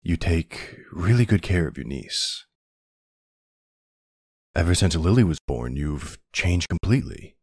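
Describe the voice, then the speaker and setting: A man acts out a doting mafia boss husband and father in an intimate audio roleplay. His voice is very deep, with gravelly fry, and he speaks slowly and softly with tender, hesitant pauses. The sound is close and dry. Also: big pitch swings, no room sound, full character voice. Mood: earnest, warm. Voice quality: gravelly, husky. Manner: soft, unhurried, hesitant pauses. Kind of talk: intimate ASMR roleplay acting.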